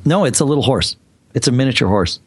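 A man co-hosts a casual tech podcast, playful one moment and thinking aloud through restarts the next. His voice has a mid pitch, and the close mic sounds dry. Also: lively intonation, quick pace, husky, dry recording. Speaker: podcast co-host.

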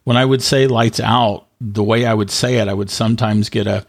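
A man in a casual tech podcast chat, talking in a rambling, halting way and often correcting himself. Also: fairly low pitch, fairly even intonation, creaky, no room sound, clean studio sound.

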